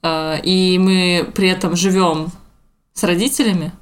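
A woman's voice: fairly low pitch, steady tone, measured pace. A woman in her mid twenties co-hosting a podcast, talking casually among friends. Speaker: podcast co-host, illustrator. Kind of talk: casual group podcast chat.

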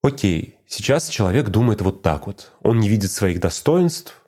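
A man's voice: on the low side, very animated, normal speed, dark tone, husky. A man talking casually and reflectively, often repeating phrases for emphasis. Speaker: teacher of speech exercises.

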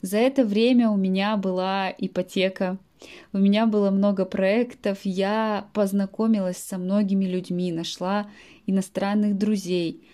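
Mellow-voiced woman explaining slowly to learners. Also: mid-range voice, fairly even intonation. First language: Russian. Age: late 20s. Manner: explains words in simple terms, with frequent soft fillers. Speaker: Russian language teacher. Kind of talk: podcast monologue for language learners.

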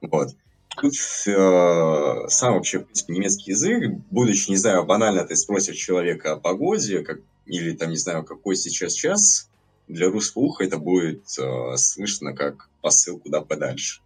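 Podcast guest: young man from Latvia, very deep and husky, rambling and hedging. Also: very animated, moderate pace. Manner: rambling, many hedges and fillers. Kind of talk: casual podcast chat.

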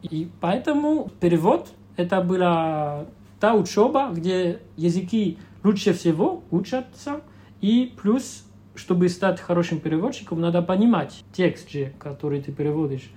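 A young Belgian man whose first language is French, speaking Russian with a French-speaking Belgian accent, pausing often to search for words as an interview guest. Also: high-pitched, expressive, mellow. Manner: hesitant, searching for words with many fillers. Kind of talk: casual interview talk.

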